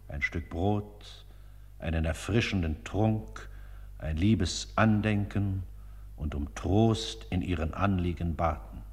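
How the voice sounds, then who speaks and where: A man's voice: deep voice, a little mellow, breathy edge, in a large hall. A man reading scripted radio narration in a solemn, formal and reverent manner.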